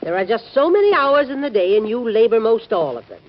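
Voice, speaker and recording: A woman's voice: a little high, very animated, quick pace. A president's wife in an old-time radio drama, firm and protective. Her delivery swings expressively as she admonishes and insists. The sound is muffled and aged. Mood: insistent. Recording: dull old radio recording.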